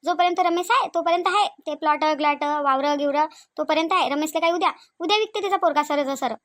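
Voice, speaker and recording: Woman playing a shrill, scolding Varhadi mother-in-law. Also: fast talker, fairly bright tone, no room sound, clean studio sound. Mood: disapproving. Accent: Varhadi Marathi from Vidarbha. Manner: nagging, sarcastic, full of rhetorical questions. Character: domineering, miserly, scolding rural mother-in-law. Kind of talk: cartoon comedy dialogue.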